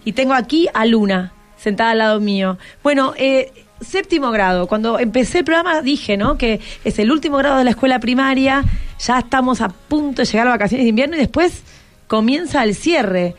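Argentine woman, radio host, fairly high voice, chatty and encouraging, question-heavy, light music underneath. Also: lively intonation, fairly quick. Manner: encouraging, asks many questions. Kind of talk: chatty radio hosting with schoolchildren. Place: radio studio.

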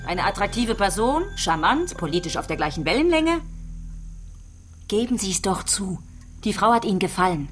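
A woman's voice: medium pitch, very animated, moderate pace, soft background music, natural voice. An actress playing a relentless female police detective in a radio play, probing and taunting as she interrogates a suspect.

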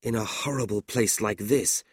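A narrator voicing an earnest, troubled young gentleman teacher in a storybook, polite and sincere. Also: medium pitch, normal speed, husky, dry recording, clean studio sound, lightly acted. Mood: dejected.

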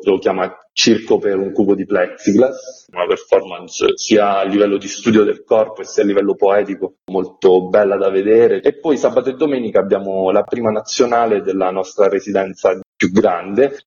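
Guy being interviewed as a festival director, deep-ish relaxed voice, explains stuff in long sentences, bit lo-fi. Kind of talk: informational radio interview answers.

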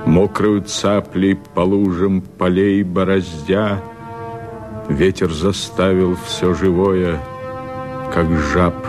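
Deep, gloomy bearer of omens. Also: male voice. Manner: solemn, measured. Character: grave, gloomy man foretelling doom in verse. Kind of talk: declaimed poetic radio drama. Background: music under the voice.

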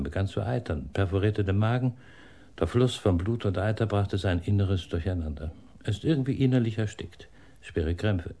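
An actor playing a detached police doctor with a clipped, clinical, dryly fascinated manner in a radio play. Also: male voice, sits low, warm tone.

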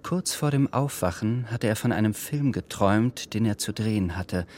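A man narrating a literary radio drama from a script in a measured, sober manner. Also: lowish voice, expressive, darkish tone, slight background noise.

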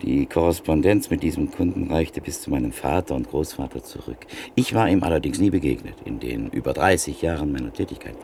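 An actor in a classic radio play playing a middle-aged antique print dealer who tells a story in a measured, reflective way. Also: male voice, very deep voice, expressive, gravelly, mellow, a little hiss or hum, heavily acted. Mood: thoughtful. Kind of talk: classic radio drama acting.

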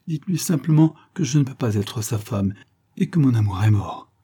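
A man's voice: lowish voice, normal speed, mellow, husky, dry recording. A man voicing a heartbroken, resolute young woman, emphatic and pleading, in a melodramatic storybook reading. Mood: anguished.

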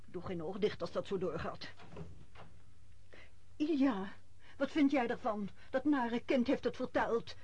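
An actress playing a strict, fretful middle-aged mother in a radio drama, with a husky voice. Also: fairly high pitch, expressive, unhurried, pauses often, mellow, muffled recording. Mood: irritated.

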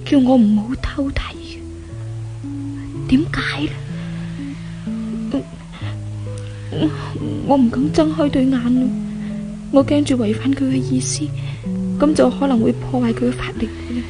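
Repentant timid young hong kong cantonese woman, high voice, earnest 70s radio drama acting, guitar-like music under it. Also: steady tone, a little slow, long pauses. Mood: hushed wonder. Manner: earnest, wondering, theatrical phrasing.

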